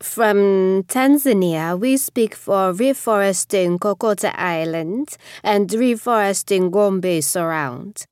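A woman representative announcing her country's projects in a measured, formal scripted reading. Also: medium pitch, darkish tone, clean tone, no room sound, studio quality. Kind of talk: scripted roll-call reading.

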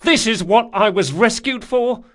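A man's voice: medium pitch, expressive, unhurried, fairly bright tone. A male narrator voicing a bitter, sulking, sardonic young rogue in an audiobook story. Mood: angry outburst. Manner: sneering, anguished, outbursts.

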